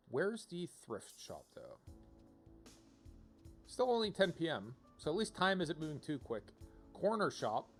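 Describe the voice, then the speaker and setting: A man casually commentating on a video game as he streams, rambling and thinking aloud as he reads out options. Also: fairly high pitch.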